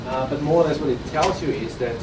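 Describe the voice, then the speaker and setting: A man with an Austrian accent giving a live startup pitch to an audience, talking conversationally and often restarting his sentences. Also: quick pace. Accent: Austrian German. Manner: conversational with frequent restarts and repeated words. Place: conference room at an innovation event. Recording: roomy, distant, noisy audience recording.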